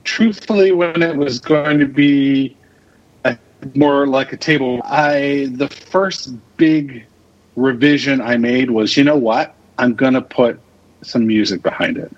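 A man giving casual interview answers as a podcast guest, thinking aloud in halting fragments. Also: medium pitch. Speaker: podcast guest, radio drama creator and producer. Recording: dull lo-fi audio.

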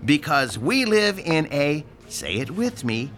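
비꼬는 나이 든 집주인, 밝은 목소리. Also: male voice, on the high side, big pitch swings, slow pace, soft background music, heavily acted. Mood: lecturing. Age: older. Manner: sarcastic, lecturing, theatrical swings from shouting to hushed. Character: pushy older male landlord, sarcastic and nagging. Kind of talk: radio drama acting.

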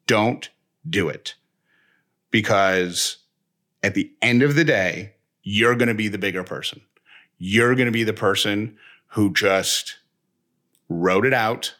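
A man's voice: lowish voice, fairly bright tone. A man in casual, reflective personal talk as a co-host, speaking in an earnest, reassuring way in long monologues.

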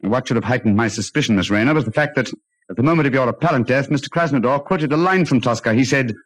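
An actor playing a clever, self-assured British detective in a 1940s radio drama, urbane and theatrical, with a hesitating drawl. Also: male voice, mid-range voice, fast, dry recording, close to an everyday voice, rough recording. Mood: explaining, assured.

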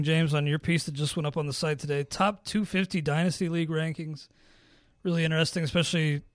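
A man hosting a podcast, talking casually about fantasy baseball in a raspy voice and clearing his throat now and then. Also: a little high, dry recording. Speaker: podcast host.

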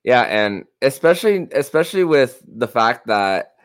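A man hosting a sports talk podcast, speaking in a halting way and often repeating words mid-sentence. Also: medium pitch, sing-song, no echo, studio quality. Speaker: podcast host.

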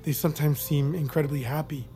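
An actor playing a calm, probing male army psychiatrist in a naturalistic audio drama. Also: fairly high pitch, quick pace, a little hiss or hum, natural voice.

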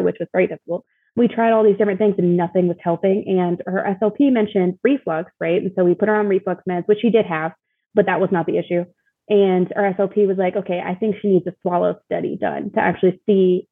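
A woman's voice: fairly low pitch, husky, no room sound. A young woman guest telling a personal story casually and candidly, in informal speech peppered with "like". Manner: informal, candid, often uses "like". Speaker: interview guest, mother sharing her experience. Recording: thin, phone-like call audio.

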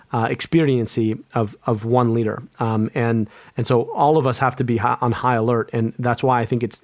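A man's voice: mid-range voice, darkish tone, dry recording. A man, an epidemiologist guest, speaking earnestly in an interview, often restarting and repeating words. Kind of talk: conversational interview.

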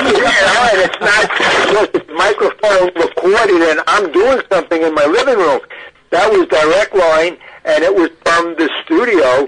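An older man as an interview guest, reminiscing in a halting way as he thinks aloud. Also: high voice, noisy background. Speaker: guest archivist.

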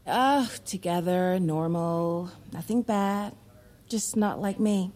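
An actress playing a blunt, free-spirited young heiress in a screen drama, talking in a wry, unguarded way. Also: female voice, lowish voice, slow, darkish tone. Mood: wistful, playful. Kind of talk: naturalistic screen drama acting.

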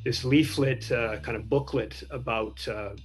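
A man, a former student union officer, recalling in an interview with many hesitant pauses. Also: mid-range voice, steady tone, soft background music. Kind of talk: interview recollection.

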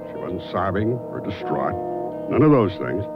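A man's voice: low-pitched, sing-song, normal speed. An actor playing a wry, eager private detective in a radio drama, telling his case conversationally with an American accent. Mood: wry narrating. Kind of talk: first-person radio detective narration and dialogue. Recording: dull old radio broadcast with loud music.

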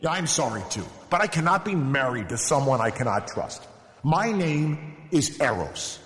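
A man's voice: mid-range voice, very animated, moderate pace, a bit bright. Man acting indignant young god, big echoing hall. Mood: cold, wounded. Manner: emphatic, accusing, dramatic. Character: wounded, indignant young god of love, betrayed husband. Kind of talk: dramatized myth storytelling. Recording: echoey hall with long reverb.

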